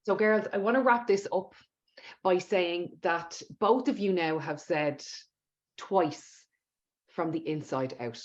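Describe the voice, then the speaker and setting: A woman's voice: fairly low pitch, slow pace. A woman hosting a podcast interview in an emphatic, conversational way, often repeating words for stress. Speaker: podcast host. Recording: dull lo-fi audio.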